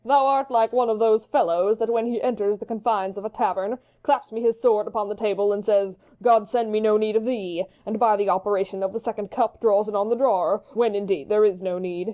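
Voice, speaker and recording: A woman's voice: medium pitch, fairly even intonation, brisk, hardly any pauses, dull audio, lightly acted. A woman playing a hot-headed, witty young swordsman in a Shakespeare audiobook, taunting and theatrical in long-winded speeches. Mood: teasing. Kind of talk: Shakespeare audiobook dramatic reading.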